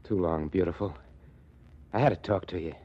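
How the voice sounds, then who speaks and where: A tough, folksy gang boss with a very low voice. He talks in slangy, ungrammatical street style, cocky and sure of himself. It sounds like a dull, muffled 1940s radio recording. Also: moderate pace, mellow, slightly rough. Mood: protective, insistent. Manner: slangy, ungrammatical tough-guy talk with chuckles.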